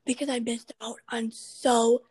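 A raspy, husky young woman with a high voice, chatting on her podcast. She rambles through her stories, full of fillers. The sound is dry and close. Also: little pitch movement, a little slow, a little mellow, no echo. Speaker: podcast host. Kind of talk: casual chat.

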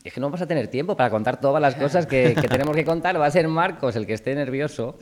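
A man's voice: on the high side, expressive, fast, a crowd in the background. A man hosting a talk show, chatting casually and teasing his guests as he wraps up. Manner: jovial, teasing, thanks guests warmly. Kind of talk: casual chat, wrapping up the show.

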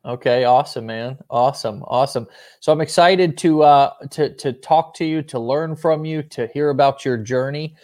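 A man's voice: medium pitch, moderate pace. Upbeat male podcast host. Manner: upbeat, energetic, stumbles and repeats words. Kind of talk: casual interview show.

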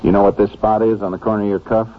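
An actor playing a calm, methodical American Texas Ranger, clipped and matter-of-fact, in an old radio drama. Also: male voice, lowish voice, racing, lightly acted. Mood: probing, terse. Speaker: lead detective and first-person narrator. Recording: tinny, hissy old radio recording.

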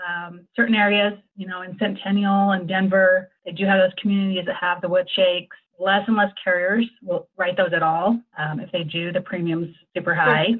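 A woman's voice: on the low side, flat delivery, moderate pace, few pauses. An American woman giving conversational expert explanations as an insurance agent, in a chatty, agreeable, informal way. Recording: thin, phone-like call audio.

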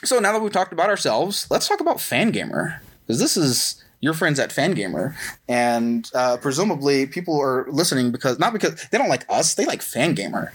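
A man's voice: a little high, sing-song, brisk, husky. A man hosting a casual podcast, talking in a rambling, self-deprecating, dryly joking way. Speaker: podcast host at a game merchandise company. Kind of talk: casual chat, self-introduction.

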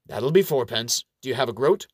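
A man's voice: mid-range voice, expressive, a little fast, dry recording, studio quality, natural voice. Give me a man playing a blunt, probing male dwarf clerk from a fantasy audiobook, distrustful of everyone. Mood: businesslike. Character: gruff, suspicious male dwarf Templar bank clerk.

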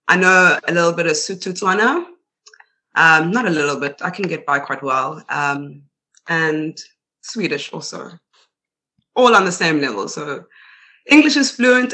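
A woman's voice: on the low side, pushed and bright. A young South African woman with a South African accent, chatting as a guest in a casual interview and laughing often.

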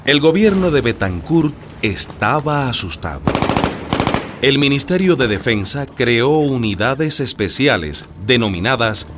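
An actor narrating a historical radio drama, as a storyteller of revolution. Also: male voice, mid-range voice, big pitch swings, unhurried, noisy background. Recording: music bed under the voice.

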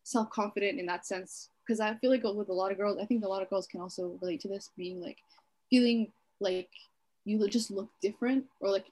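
A woman's voice: medium pitch, steady tone, fairly quick, darkish tone. A woman in her twenties from Kenya, co-hosting a podcast in casual chat, talking freely with many fillers and frequent laughs. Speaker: podcast co-host.

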